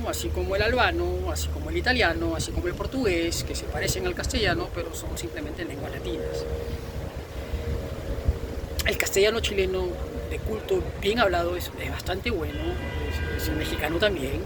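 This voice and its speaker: A man's voice: high-pitched, background noise. A man from Peru with a Peruvian accent, giving an opinionated informal monologue in an emphatic, repetitive way with florid vocabulary. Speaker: video host.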